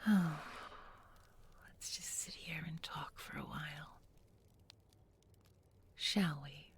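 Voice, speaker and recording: A woman playing a tender, bookish young village girl in an intimate audio roleplay, speaking softly and gently, often in a whisper. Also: deep voice, a little hiss or hum. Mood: warm, reminiscing. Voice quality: soft, breathy, often whispering. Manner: slow, gentle, murmured with lingering pauses.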